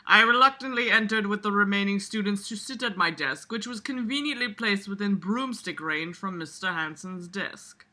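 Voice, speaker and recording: A woman's voice: mid-range voice, moderate pace, hardly any pauses, sharp tone. A woman casually reading aloud with mocking commentary, sarcastic, exasperated and foul-mouthed. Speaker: amateur reader and commentator with a regular audience.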